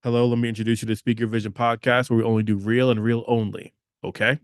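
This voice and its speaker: A man's voice: medium pitch, flat delivery, fast, dry recording, studio quality. A man hosting a motivational podcast, talking emphatically, repeating phrases and checking in with "okay?". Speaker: podcast host.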